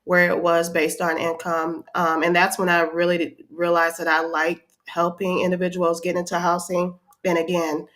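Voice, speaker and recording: A woman's voice: on the low side, completely flat delivery, normal speed, hardly any pauses, a bit bright. Congested woman with a cold, explaining. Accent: American Midwest. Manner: friendly, explanatory, with "um" fillers. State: stuffed up with a head cold. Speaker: housing counselor, podcast guest. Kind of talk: casual interview talk.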